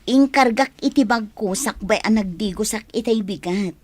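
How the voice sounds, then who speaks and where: A woman's voice: mid-range voice, moderate pace, a little mellow, no echo, close to an everyday voice. A woman with an Ilocano accent playing an upset, insistent young lady in a radio drama. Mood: distressed. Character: upset, insistent woman who lost her phone.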